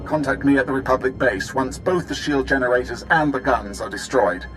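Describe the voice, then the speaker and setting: A man's voice: medium pitch, a little fast, over music, close to an everyday voice, rough recording. Brusque, commanding Imperial officer acted by a man. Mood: urgent, commanding. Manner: clipped, authoritative, disdainful. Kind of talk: video game cutscene acting.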